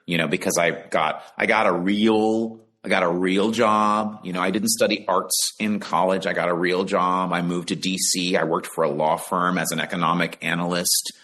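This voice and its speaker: Very deep-voiced guy from the bahamas chatting on a video call about narrating books, kinda thoughtful, lots of ums. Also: fairly even intonation, normal speed, few pauses, sharp tone. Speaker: audiobook narrator and actor. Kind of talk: conversational interview over video call.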